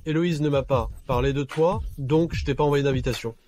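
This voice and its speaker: An actor as a coldly possessive, sardonic husband. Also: male voice, on the high side, a little fast, a little mellow, soft background music, natural voice. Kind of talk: dubbed melodrama acting.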